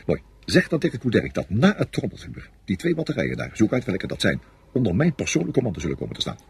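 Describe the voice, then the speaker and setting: An actor playing a commanding, fearless army general in a Dutch radio drama, clipped and imperious. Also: male voice, on the low side, huge pitch swings, fast, gravelly, slight background noise, exaggerated voice.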